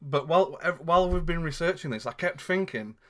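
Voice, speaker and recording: Bright-voiced man, chatty. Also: fairly high pitch, animated, fairly quick. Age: 30s. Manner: laughing, rambling, chatty. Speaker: co-host. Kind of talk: casual chat.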